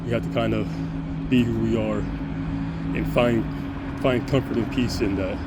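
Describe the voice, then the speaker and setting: A man with a deep voice giving an earnest, rambling monologue to camera, restarting and stammering often. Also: steady tone, unhurried, heavy background noise. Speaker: vlogger.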